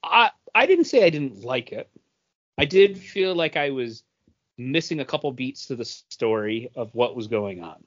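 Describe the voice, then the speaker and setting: A man of average pitch chats casually as a podcast co-host. His intonation swings in a lively way. The sound is a bit dull and lo-fi. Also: unhurried. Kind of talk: casual chat.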